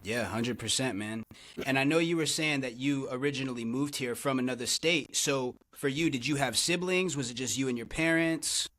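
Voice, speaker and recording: A man's voice: mid-range voice, moderate pace, a bit bright. A man hosting a casual interview podcast, upbeat and encouraging, often answering with "for sure, man.". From Tampa area, Florida. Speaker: podcast host.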